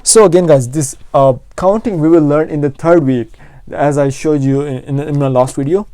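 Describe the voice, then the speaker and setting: A man's voice: on the high side, moderate pace, warm tone, no echo. A man with a Pakistani accent teaching an informal language lesson, explaining and repeating phrases for learners. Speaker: language teacher and channel host.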